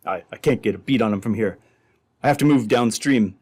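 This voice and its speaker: Man acting an uneasy, low-voiced henchman. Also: brisk, a little mellow, husky. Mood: worried. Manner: hesitant, worried. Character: uneasy, hesitant henchman in a murder plot. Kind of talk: re-created old-time radio drama acting.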